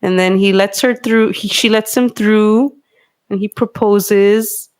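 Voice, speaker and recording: Woman, medium pitch, casual, chatty, hesitant, podcast recap. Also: fairly even intonation, slow pace, clear, resonant tone. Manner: chatty, hesitant, lots of fillers. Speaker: podcast host.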